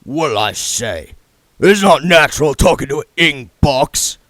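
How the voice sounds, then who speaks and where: A man's voice: a little high, animated, unhurried, a bit bright, no echo, pitched above the performer's own voice. A man reading aloud and voicing a brutish male thug with a damp, growling voice. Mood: grumbling. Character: hulking brutish male thug, slow and crude.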